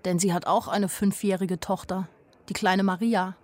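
A woman's voice: mid-range voice, steady tone, normal speed, a little mellow, lightly acted. An actress playing a naive but observant young woman who tells her own story in a wry, plain-spoken radio drama narration.

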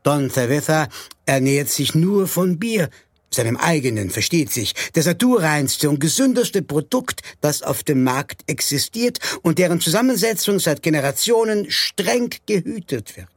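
A man's voice: fairly high pitch, lively intonation, moderate pace, no room sound, clean studio sound. A man playing a mock-solemn storyteller, narrating an absurd radio play with theatrical announcements.

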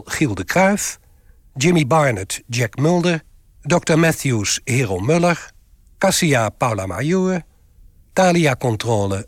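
A man announcing a radio drama and reading its credits in a formal, deliberate way. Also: medium pitch, dry recording, studio quality.

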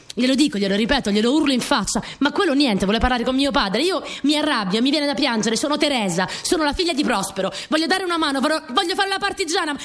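A woman playing a stubborn, eager sixteen-year-old partisan girl in a theatrical radio monologue, telling her wartime story with dramatic, halting repetitions. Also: high-pitched. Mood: insistent, upset. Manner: dramatic, halting delivery with repeated phrases.

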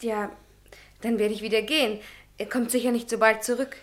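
A woman voicing a young female student in an old radio drama, with a slightly high voice. She is polite, with a cheeky, teasing streak. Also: steady tone, fairly quick, close to an everyday voice. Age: young adult. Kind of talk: 1950s radio play acting.

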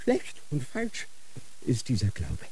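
A man acting a dying old Grand Inquisitor in a radio drama, dictating slowly and solemnly in a feverish state. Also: mid-range voice, wildly swooping pitch, mellow, breathy edge, heavily acted, poor audio. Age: older. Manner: slow, solemn dictating with sudden feverish outbursts.

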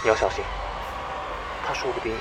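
A man's voice: fairly quick, loud music behind the voice, lightly acted. A man acting a concerned young friend giving an urgent warning, in an audio drama.

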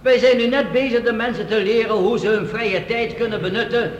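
A man's voice: high voice, fairly even intonation, brisk, close to an everyday voice. An actor playing a polite, earnest male delegate raising an objection in formal radio play debate. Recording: echoey hall, dull distant sound.

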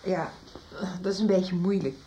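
Actress doing a worried mom in a 90s radio play, lowish soft voice, sorry and pleading. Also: female voice, moderate pace, close to an everyday voice. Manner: apologetic, pleading. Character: anxious mother of a runaway son. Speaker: mother in a radio soap. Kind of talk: 1990s regional radio drama acting.

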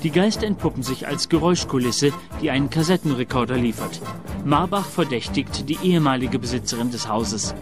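A man announcing a radio play episode and recapping the story in a measured, formal way. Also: medium pitch, lively intonation, music in the background.

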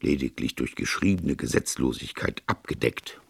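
A deep, slightly gravelly priest detective, read by a man, earnest and philosophical. He reasons at length with calm sincerity, and his voice rises and falls freely, as in an audiobook crime story. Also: normal speed, dry recording, clean studio sound, heavily acted. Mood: grave, reflective. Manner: even-tempered, sincere, discursive. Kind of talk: audiobook character dialogue.

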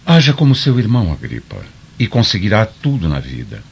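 A man acting in a radio drama as a stern, proud Roman senator and father, with an authoritative, measured delivery. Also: lowish voice, huge pitch swings, gravelly, darkish tone, breathy edge, exaggerated voice. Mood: proud, fatherly. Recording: dull lo-fi radio drama recording.

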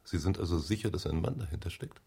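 A probing homicide detective with a low, gravelly voice. His intonation moves widely as he puts formal, pointed questions. The rough-edged delivery suits a radio crime drama. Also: expressive, quick pace, dark tone, full character voice. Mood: insistent. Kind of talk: radio drama interrogation dialogue.

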